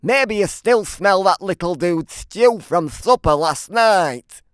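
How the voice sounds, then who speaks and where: Man putting on a smooth, teasing giant's wife. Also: high-pitched, animated, slow pace, no echo, studio quality, pitched above the performer's own voice. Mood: teasing, dismissive.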